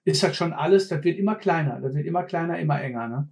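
A man's voice: fairly high pitch, fast, vocal fry, darkish tone. Blunt man in his fifties. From Ruhr area, Germany. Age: 50s. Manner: blunt, repeats words while searching. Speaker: former mine fitter, guest. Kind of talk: casual conversation.

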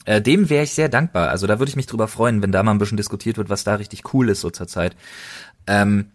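A man's voice: lowish voice, fast. A German man co-hosting a casual podcast, speaking colloquially and emphatically, often doubling up his intensifiers. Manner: colloquial, emphatic enthusiast who repeats intensifiers. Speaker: podcast co-host.

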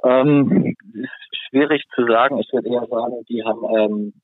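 A male hockey player, a podcast guest, answering in a halting way with many fillers over a remote call. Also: medium pitch, slightly rough, no echo. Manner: halting, lots of fillers and restarts. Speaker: ice hockey defenceman guest. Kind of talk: casual podcast interview. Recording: tinny phone-line sound, dry.

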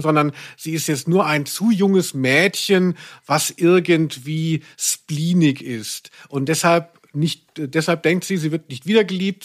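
A man hosting a podcast in a reflective, conversational style, earnest and analytical with some playful irony. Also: a little high. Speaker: podcast host.

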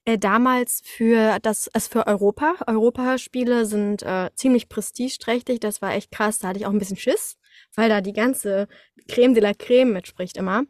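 A young woman chatting casually as a guest, telling anecdotes in a lively, slangy way. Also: a little high, moderate pace, no echo, clean studio sound. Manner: animated storytelling with slangy, colloquial wording. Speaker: guest, voice actress.

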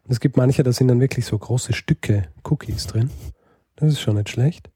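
A man's voice: medium pitch, moderate pace, mellow, slightly rough, no echo, clean studio sound. A man co-hosting a casual podcast chat, talking hesitantly with many fillers and restarts. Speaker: podcast co-host.

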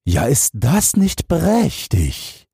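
A crooked tailor from a storybook, squeaking far above a normal male register. The delivery is oily and boastful, stretching out showy flattery with a husky edge and big swoops. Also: dry recording, studio quality, full character voice. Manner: fawning, drawn-out showy praise. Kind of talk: fairy-tale audiobook character voice.

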